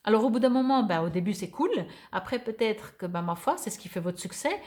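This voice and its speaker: A woman's voice: medium pitch, animated, brisk, a little mellow. Chatty woman reading oracle cards. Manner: chatty, thinks aloud with many hesitations; reads card texts aloud, then comments. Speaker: oracle card reader. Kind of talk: weekly card and numerology reading.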